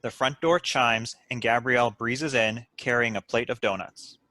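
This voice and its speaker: A man reads screenplay stage directions in a crisp narrator role. His voice is mid-pitched and very bright, recorded dry and close. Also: steady tone, moderate pace, no echo. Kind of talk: scripted narration.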